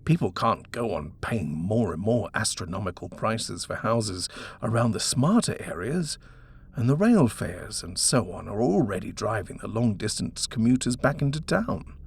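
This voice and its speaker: A man's voice: on the low side, big pitch swings, normal speed, vocal fry, a little hiss or hum. A man voicing an eager young husband in a story, persuasive and indulgent as he talks up a house. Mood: coaxing, persuasive. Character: eager, coaxing young British husband, smooth-talking house-hunter. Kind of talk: storybook character reading.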